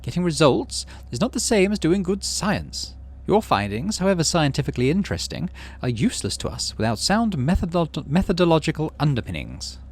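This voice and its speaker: A man's voice: fairly high pitch, sing-song, brisk, few pauses, a little mellow, pitched above the performer's own voice. A man reading the lines of a strict female chief engineer who scolds a young colleague, in a game playthrough. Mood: reproachful. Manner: strict, clipped reprimand.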